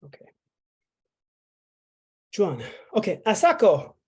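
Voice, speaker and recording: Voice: medium pitch, huge pitch swings, slow, warm tone, slightly rough. A language instructor giving a casual online lesson, explaining letters in a chatty way with fillers and playful asides.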